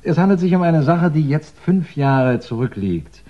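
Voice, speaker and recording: Courteous, probing police inspector. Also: male voice, fairly high pitch, very animated, moderate pace. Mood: polite, probing. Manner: formal, polite, persistent questioning. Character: courteous, probing Scotland Yard police investigator. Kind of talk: 1960s German radio crime drama acting. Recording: dull vintage 1960s radio recording.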